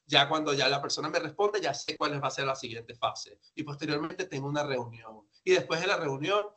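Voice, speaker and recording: Bright-voiced man giving sales training. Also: on the high side, fairly quick. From grew up outside Peru, now lives there. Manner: conversational, emphatic, asks and answers his own questions. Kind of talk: informal instructive presentation to entrepreneurs.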